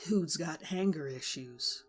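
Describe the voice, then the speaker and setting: A woman voicing an uneasy young man in a horror story reading, talking in a hushed way. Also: deep voice, takes it slowly, soft background music, pitched below the performer's own voice. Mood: tense. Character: jittery young man, friend on a ghost hunt.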